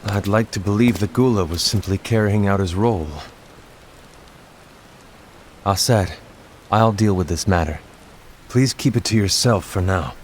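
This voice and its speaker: A man as a low-voiced, solemn young leader. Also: slight background noise, lightly acted. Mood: grave, calm. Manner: measured, formal, earnest. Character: calm, solemn, dutiful young male leader. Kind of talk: dramatic video game voice acting.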